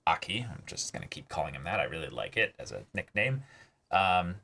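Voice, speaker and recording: A man's voice: sits low, very animated, brisk, vocal fry, pushed and bright. A man in a casual discussion as a reaction show host, reflective and hesitant as he searches for words. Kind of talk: casual discussion of an anime episode.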